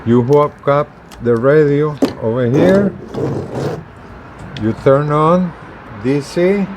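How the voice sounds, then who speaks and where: A man giving a casual product demonstration as a video presenter, talking haltingly and repeating words as he explains. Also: on the high side, noisy background. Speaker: hobbyist video presenter, truck driver.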